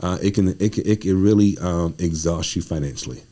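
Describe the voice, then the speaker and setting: A man's voice: deep voice, fairly quick, mellow. A man chatting casually as a podcast guest, telling personal stories and emphatically repeating his phrases. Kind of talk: animated casual conversation, personal storytelling.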